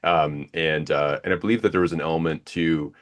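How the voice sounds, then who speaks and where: Very deep-voiced hesitant male host. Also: steady tone, brisk. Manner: hesitant, many restarts and fillers. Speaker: podcast host. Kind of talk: interview question.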